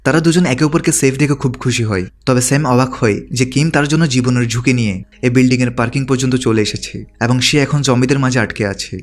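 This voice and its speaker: A man's voice: mid-range voice, steady tone, fast talker. A man reading a scripted story recap as a narrator.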